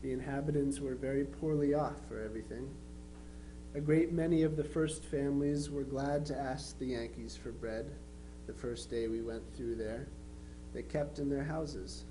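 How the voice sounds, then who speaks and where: A man playing a plainspoken twenty-three-year-old Union soldier, reading his affectionate letters home in an unhurried, matter-of-fact way. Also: medium pitch, steady tone, dark tone, natural voice. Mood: reflective, matter-of-fact. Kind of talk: dramatized reading of historical letters. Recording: faintly noisy live recording with hum.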